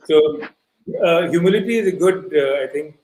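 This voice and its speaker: Brief: talkative man, higher voice, roomy echo, faint background hum. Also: lively intonation, moderate pace, darkish tone. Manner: chatty, self-correcting, acts out quotes. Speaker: filmmaker guest. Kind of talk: casual panel chat. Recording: echoey room, faint hum.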